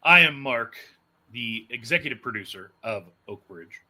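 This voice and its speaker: A man hosting a casual interview show in a chatty, animated manner. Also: mid-range voice, normal speed. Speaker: podcast host and executive producer.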